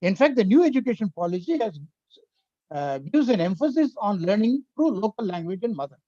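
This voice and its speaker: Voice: mid-range voice, very animated, brisk, dark tone, no echo. An older speaker with an Indian accent and a Tamil mother tongue, giving an earnest, explanatory webinar lecture that works through points one by one. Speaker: invited speaker on education policy. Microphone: video call. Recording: dry, slightly muffled webinar audio.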